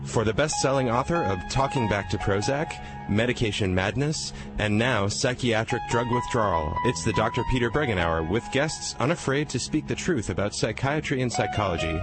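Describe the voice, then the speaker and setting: A male announcer with a low voice reads a scripted promo, giving it a dramatic build. Clear guitar music plays behind him. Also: moderate pace, hardly any pauses.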